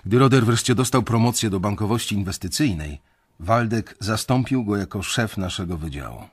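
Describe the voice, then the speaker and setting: A man's voice: on the low side, animated, moderate pace, breathy edge. An actor playing a resentful young investment banker who tells his story bitterly in a radio drama. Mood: bitter recollection.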